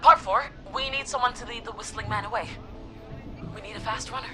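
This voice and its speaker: A woman voicing a gutsy, nervy teenage girl who leads an escape plan in a video game, excitable and rallying. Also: fairly high pitch, fairly quick, close to an everyday voice. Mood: determined, upbeat. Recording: music behind the voice.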